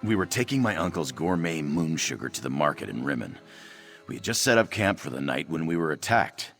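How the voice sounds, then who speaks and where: A man's voice: rumbling low, sing-song, a little fast, vocal fry, a bit bright, faint music behind, exaggerated voice. A man voicing an anxious, self-doubting young cat-man trader in a fantasy video game, fretful and hesitant. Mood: anxious, earnest.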